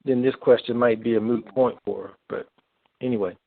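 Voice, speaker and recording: A man co-hosting a casual call, reading out listener questions and speaking haltingly with pauses between phrases. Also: mid-range voice, fairly even intonation, vocal fry, no echo. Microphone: telephone. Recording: narrow, lo-fi phone line.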